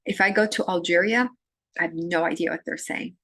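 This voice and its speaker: A woman from Syria lectures to her students, stopping often on ums and uhs and restarting her sentences. Her voice sits somewhat low for a woman. The recording is close and dry, with no room sound. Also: fairly bright tone, breathy edge, no room sound. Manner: halting, many fillers and restarts. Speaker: literature lecturer.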